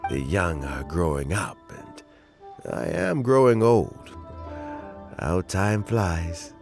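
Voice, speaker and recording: Slow, swooping, kindly old man. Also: low-pitched, very animated, soft background music, heavily acted. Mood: nostalgic, wistful. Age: older. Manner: gentle, reflective, chuckling, deliberate. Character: elderly kindly mentor official nearing retirement, fond of fishing. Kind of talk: video game dialogue acting.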